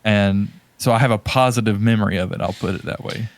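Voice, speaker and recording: A man's voice: lowish voice, steady tone, a little fast. A man co-hosting a podcast in casual chat, rambling and often hesitating and correcting himself as he goes. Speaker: podcast co-host.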